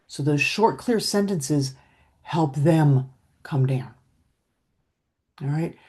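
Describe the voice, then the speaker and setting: A middle-aged woman with a low voice, coaching on communication in a slow, steady, reflective way, often checking in with "right?". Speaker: emotional intelligence coach. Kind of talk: calm teaching talk to camera.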